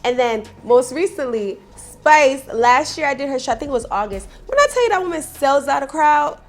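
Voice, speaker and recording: A young woman from Jamaica, guest on an interview, talks in a fairly high voice. She tells her stories with animation and drops a "honey" now and then. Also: moderate pace. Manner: animated storyteller, calls people honey. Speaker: rapper guest. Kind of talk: casual interview chat.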